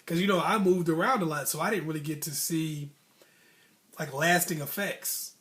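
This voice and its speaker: A man's voice: on the high side, normal speed. An American man telling a personal story casually, in slangy speech, acting out bits of dialogue as he goes. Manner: animated slangy talk, acting out childhood dialogue. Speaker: storyteller host.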